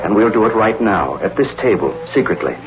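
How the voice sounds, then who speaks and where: An actor with an American accent playing a worried, decisive plant owner in a 1930s radio drama. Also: male voice, on the low side, sing-song, fairly quick. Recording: muffled vintage radio, music behind.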